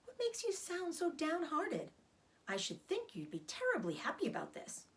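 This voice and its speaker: Woman reader as young boy pig, storybook, earnest, curious, innocent, highly animated intonation. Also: moderate pace, exaggerated voice. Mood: excited, insistent. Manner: wide-eyed, earnest, questioning. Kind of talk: children's storybook read-aloud.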